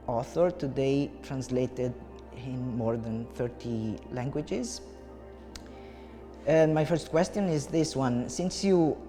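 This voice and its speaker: Halting Italian-accented male interviewer. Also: mid-range voice, long reverb tail. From Italy. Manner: halting, many filler sounds. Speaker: interviewer and translator. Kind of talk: hesitant conversational interview.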